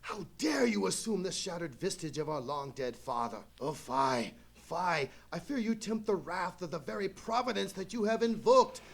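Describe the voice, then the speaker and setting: Outraged brother, gravelly and high. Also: male voice, lively intonation, moderate pace, hardly any pauses, full character voice. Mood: outraged, horrified. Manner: melodramatic, declamatory. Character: outraged, horrified brother, melodramatic scolding nobleman.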